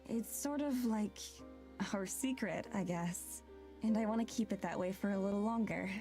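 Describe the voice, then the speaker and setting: An actress playing an earnest, shy young woman in a visual novel, speaking with a hesitant, gentle manner. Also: medium pitch, normal speed, lightly acted. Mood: gentle, bashful. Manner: hesitant, soft-hearted. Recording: background music behind the voice.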